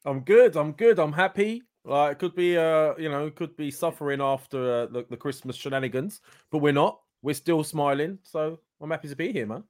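A man's voice: fairly high pitch, normal speed, a little mellow. A man chatting casually as a podcast guest, jokey and teasing in a blokey way. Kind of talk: casual chat.

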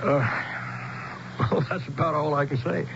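A man's voice: a little high, fairly even intonation, measured pace, gravelly. An actor playing a bewildered, skeptical American man stranded as an outsider in a 1970s radio drama. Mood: bewildered, halting. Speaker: actor and narrator. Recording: muffled, noisy old radio broadcast.

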